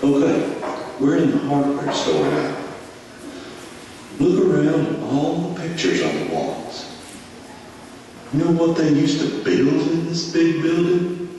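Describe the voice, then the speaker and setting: Slow, animated dad in an echoey hall, man acting. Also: a little high. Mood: patient, explaining. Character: patient, teasing dad explaining town history to his child. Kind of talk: scripted puppet show dialogue. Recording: echoey hall from far off, faint noise.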